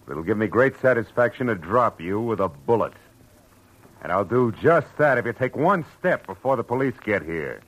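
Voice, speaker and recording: An actor playing a tough, wisecracking American private detective in a vintage radio drama, with a clipped, sardonic delivery. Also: male voice, fairly low pitch, sing-song, moderate pace, fairly bright tone, poor audio. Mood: tough, menacing. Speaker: lead actor and narrator. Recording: old radio recording with music behind.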